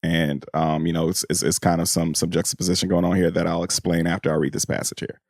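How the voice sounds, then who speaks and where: A man's voice: low-pitched, little pitch movement, fast, no echo, clean studio sound. A man co-hosting a podcast, talking through and reading from a book in an informal, slangy way. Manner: informal, peppered with slang and "you know". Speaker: podcast co-host. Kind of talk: casual book discussion and reading passages aloud.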